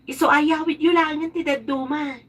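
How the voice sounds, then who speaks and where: A woman's voice: sits high, steady tone, normal speed, a bit bright. A woman playing a polite, hospitable young niece in a radio drama, speaking with an Ilocano accent. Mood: reassuring.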